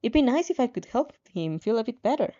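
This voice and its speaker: Expressive woman reading aloud, dull lo-fi sound. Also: fairly high pitch, fast talker. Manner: animated, playful asides, stumbles over words. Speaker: fan fiction reader reacting as she reads. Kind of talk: expressive story narration with casual reactions.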